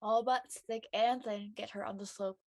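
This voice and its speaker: A woman's voice: medium pitch, fairly even intonation, moderate pace, darkish tone, natural voice. A woman playing a blunt but supportive young woman in an audio drama, direct and dryly teasing. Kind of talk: acted audio drama dialogue.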